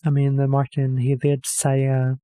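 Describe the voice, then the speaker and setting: Man, mellow voice, dry sound, hesitant rambling reaction host. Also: mid-range voice, completely flat delivery, normal speed, no room sound. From Norway. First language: Norwegian. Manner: hesitant, rambling, self-correcting with many fillers. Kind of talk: casual rambling commentary.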